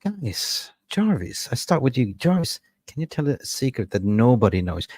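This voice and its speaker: A man in his fifties from Sweden, co-hosting a casual chat, playful and quick to laugh. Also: medium pitch, big pitch swings, darkish tone. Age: 50s. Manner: playful, laughs often, slips into jokey impressions. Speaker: co-host of a football fan show.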